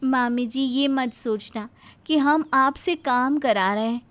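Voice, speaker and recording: Woman playing a cheeky, high-pitched lazy young daughter-in-law. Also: slow, fairly bright tone. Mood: mock-polite. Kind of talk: scripted Hindi moral story acting.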